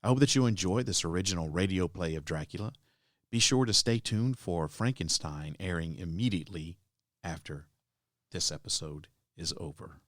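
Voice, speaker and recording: A man's voice: deep voice, moderate pace, a bit bright, no room sound, clean studio sound. A man hosting a podcast, reading a scripted introduction to old-time radio drama. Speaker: podcast host.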